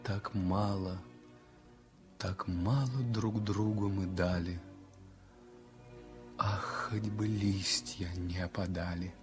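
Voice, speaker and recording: An actor playing a gravely ill young man who speaks weakly and tenderly in a 1960s radio drama. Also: deep voice, steady tone, slow, pauses often, over music. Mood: hushed.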